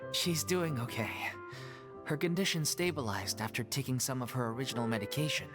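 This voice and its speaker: A man voicing a caring, dry-witted companion in a video game, matter-of-fact and gently scolding. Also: medium pitch, a little fast, over music, close to an everyday voice. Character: caring but nagging companion of a sick friend, dry-witted.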